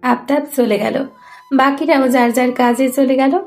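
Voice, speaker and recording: A woman's voice: high voice, steady tone, measured pace, a little mellow, faint music behind. A woman reading scripted story narration as the narrator.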